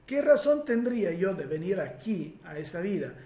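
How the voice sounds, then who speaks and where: Italian man with a mellow, echoey voice. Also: sits high, sing-song. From Italy. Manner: reflective, explanatory, with many filler pauses. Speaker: regression therapist. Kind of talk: answering listener questions.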